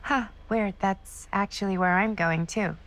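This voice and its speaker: A woman's voice: medium pitch, fairly even intonation, unhurried, fairly bright tone, lightly acted. An actress playing a friendly, awkward young American woman in a video game, with a hesitant, rambling, casual way of talking. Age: young adult. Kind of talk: naturalistic video game acting.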